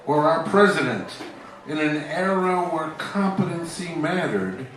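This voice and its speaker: Man doing a slow, theatrical old-time radio announcer. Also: on the high side, animated, fairly bright tone. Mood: wry announcing. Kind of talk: parody of 1940s radio announcing. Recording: echoey, distant, music behind.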